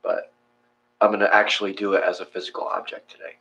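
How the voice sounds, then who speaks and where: A man's voice: fairly low pitch, flat delivery, fast, pushed and bright, low-quality recording. A man hosting a meditation session, chatting casually in a rambling, informal way and often restarting his sentences. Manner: rambling, informal, self-correcting. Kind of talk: casual chat after guided meditation.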